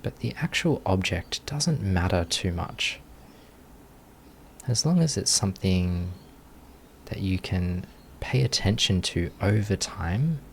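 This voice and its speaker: Slow, low-voiced man guiding meditation. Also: gravelly, slight background noise. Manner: unhurried, gentle instructing with long pauses. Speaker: meditation teacher. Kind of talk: guided meditation instruction.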